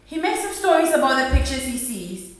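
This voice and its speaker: Radio drama: actress as gentle working-class mum, worried, higher-pitched, heard in echoey room. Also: female voice, very animated, brisk. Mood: fond, earnest. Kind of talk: radio play acting. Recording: echoey room, far from mic.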